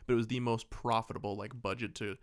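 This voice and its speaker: Clear-voiced man chatting about movies, dry close sound. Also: mid-range voice, level tone, fast talker, no room sound. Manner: rambling storyteller, voices imagined dialogue; joking, sarcastic asides. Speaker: podcast co-host. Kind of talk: casual movie discussion.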